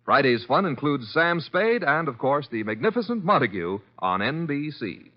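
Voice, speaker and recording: A man's voice: a little high, sing-song, moderate pace. A man reading scripted 1950s radio announcements on a dull vintage recording.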